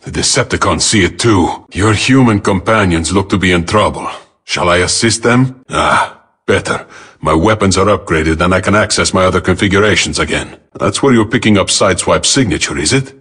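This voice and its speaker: An actor playing a cool, proud robot spy in a video game, with clipped, dry, self-assured delivery. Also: male voice, low-pitched, moderate pace, a bit bright, husky.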